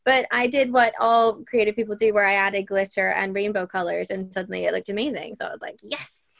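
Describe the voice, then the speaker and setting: A woman's voice: medium pitch, steady tone, fairly quick, gravelly, fairly bright tone. A young woman from Ireland with a mixed Irish accent that comes and goes, chatting casually as an interview guest and laughing often as she talks. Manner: chatty and giggly, often laughing mid-sentence.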